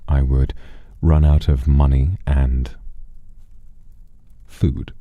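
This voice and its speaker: A man telling a first-person story to a livestream audience in a theatrical, deliberate way, with dramatic pauses. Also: very deep voice, level tone, no echo, phone-quality sound.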